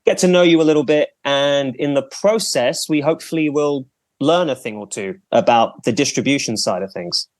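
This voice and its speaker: A man's voice: medium pitch, normal speed, no room sound. A man hosting a podcast, introducing a guest and asking questions in a friendly, chatty way, reacting with enthusiasm. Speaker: podcast host. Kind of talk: casual podcast interview.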